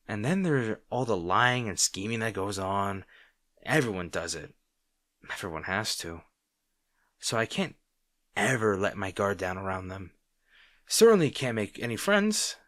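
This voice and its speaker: A man plays a supervillain rival with a fairly low voice in a very dry recording. He speaks straight to the listener as in a roleplay audio drama. He is wry and sardonic, yet hesitant, insecure and secretly fond of his nemesis. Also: lively intonation, measured pace, long pauses, fairly bright tone, dry recording. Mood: wry, weary.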